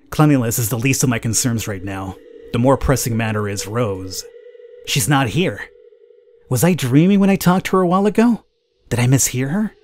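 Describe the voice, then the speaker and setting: Male gaming host, medium pitch, expressive dramatic first-person narration, faint noisy game ambience behind. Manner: expressive, acts out the heroine's thoughts. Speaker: gaming video host reading the game's text aloud. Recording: clear background noise, game ambience.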